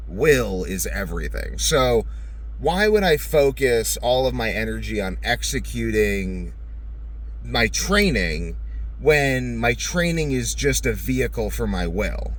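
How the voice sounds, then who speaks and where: A man's voice: mid-range voice, lively intonation, slow pace. A young adult man in a casual, rambling monologue, musing aloud, repeating phrases and putting questions to himself. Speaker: vlogger.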